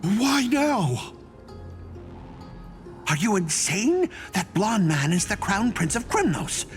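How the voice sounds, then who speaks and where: Game bit part, alarmed citizen: incredulous, scolding, very lively intonation. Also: medium pitch, takes it slowly, faint music behind. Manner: agitated, incredulous. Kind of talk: scripted game drama.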